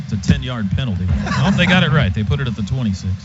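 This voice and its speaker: A man's voice: medium pitch, very animated, fast talker, loud music behind the voice. A man doing live radio play-by-play of a football game in short, clipped present-tense phrases. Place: stadium radio booth. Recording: dull lo-fi radio broadcast, stadium noise. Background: clear stadium noise.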